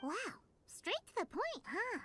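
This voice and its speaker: A woman voicing a tiny cheerful, cheeky fairy companion in an anime video game, with a squeaky childlike voice and a bubbly, pushy manner. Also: very animated, a little slow, slight background noise, heavily acted. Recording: loud music under the voice. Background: game music.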